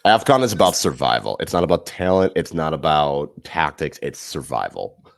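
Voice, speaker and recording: A man's voice: extremely low pitch, moderate pace, gravelly, dry recording. A man as podcast guest talking through sports in casual chat, rambling and correcting himself as he goes. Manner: animated, rambling analysis with self-corrections.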